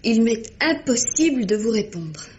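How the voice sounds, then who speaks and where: A woman's voice: on the high side, big pitch swings, measured pace, a little mellow, lo-fi sound, a little hiss or hum. A woman playing a proud, anguished young lady accused of murder, dramatic and formal, in an old-style radio mystery. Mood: anguished, resigned.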